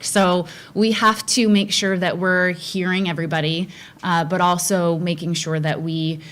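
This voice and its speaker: A middle-aged woman, a school board candidate, answering forum questions earnestly with frequent restarts. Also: fairly low pitch.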